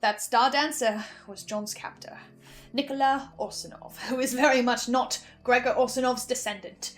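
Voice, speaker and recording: High-voiced, sardonic woman recapping a story. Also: normal speed. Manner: expressive, sardonic. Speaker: host of a story-recap show. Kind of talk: scripted commentary with theatrical asides. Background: faint music.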